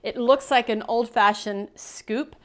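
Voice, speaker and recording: A woman's voice: a little high, fairly even intonation, takes it slowly. A middle-aged woman with an American accent chatting casually to the camera as a vlogger, with playful asides. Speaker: vlogger showing thrift finds.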